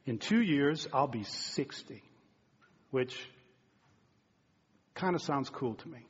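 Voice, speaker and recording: Fifty-something guy preaching, kinda husky, chatty and earnest, big echoey church sound, a bit muffled. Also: mid-range voice, expressive, takes it slowly. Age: 50s. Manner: conversational, earnest preaching with asides to the congregation. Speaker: pastor. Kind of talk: sermon. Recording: dull, muffled sound with long hall reverb.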